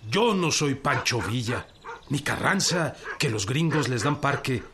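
An actor with a Mexican accent playing a gruff, defiant peasant revolutionary general in a radio drama, barking blunt orders. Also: male voice, medium pitch, expressive, measured pace, heavy background noise. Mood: defiant, stern. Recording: loud rural sound effects behind.

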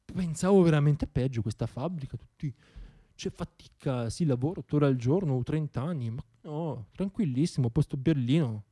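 A man's voice: on the high side, normal speed, warm tone, husky. A man playing a thirty-year-old factory worker who anxiously reassures himself in a stage monologue. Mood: uneasy reassurance.

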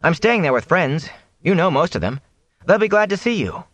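Man as a young village lad, audiobook character, earnest, concerned, higher pitch, expressive. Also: moderate pace, no echo. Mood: earnest, reassuring. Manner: earnest, hesitant, reassuring.

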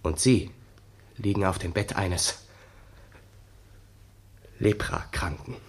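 An actor playing a sorrowful young ex-soldier in a 1960s German radio drama, telling things in a subdued, haunted way. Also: male voice, deep voice, slow, a little hiss or hum.

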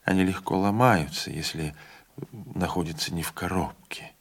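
A man voicing a calm, resigned older train conductor who speaks low and quietly. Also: moderate pace, slightly rough.

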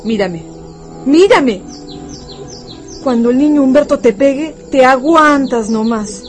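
A woman's voice: high voice, expressive, slow pace, faint music behind. An actress playing an anxious servant mother, urgent and insistent with her young son, in a radio drama. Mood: urgent, resigned.

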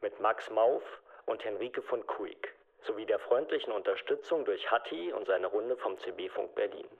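A man's voice: fairly low pitch, expressive, moderate pace, creaky. A man reading a scripted credits announcement as a radio announcer. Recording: slightly muffled radio sound.

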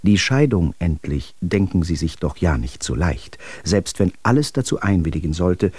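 Reproving family friend: formal radio-drama letter reading, dark husky low voice, measured. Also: male voice, big pitch swings, no echo, full character voice. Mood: sober admonition. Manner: formal, admonishing.

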